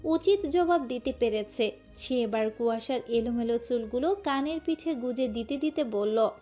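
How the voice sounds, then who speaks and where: A woman's voice: fairly high pitch, normal speed, mellow, faint music behind. A woman reading a scripted romantic story as its narrator.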